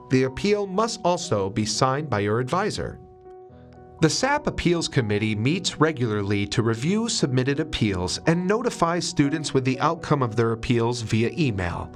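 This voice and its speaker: A man reading scripted explainer narration in a clear, instructional manner as a voice-over narrator. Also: mid-range voice. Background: music.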